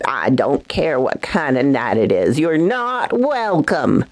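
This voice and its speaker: A woman's voice: low voice, very animated, slow pace, full character voice. Curt, hostile mad old woman played by an actress. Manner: curt, unwelcoming, cackling. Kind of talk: old-time radio horror acting.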